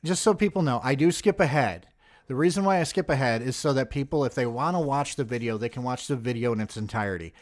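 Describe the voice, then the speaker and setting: A man's voice: mid-range voice, fast. A man in his forties reacting to a video with casual, profane, mocking commentary and joking asides. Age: 40s. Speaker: video host reacting to footage.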